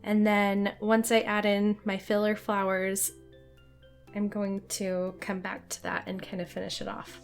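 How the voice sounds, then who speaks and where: A young woman with a hoarse voice from a cold, chatting casually as she teaches a watercolor painting tutorial. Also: mid-range voice, monotone, measured pace, faint music behind. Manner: friendly, chatty, light laughs.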